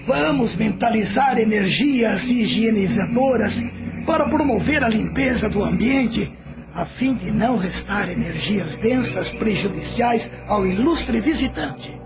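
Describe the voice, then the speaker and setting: Man acting as spirit meditation leader, radio drama, very high male voice, loud music over dull sound. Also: lively intonation, measured pace. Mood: solemn. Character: spirit colony member leading a cleansing meditation.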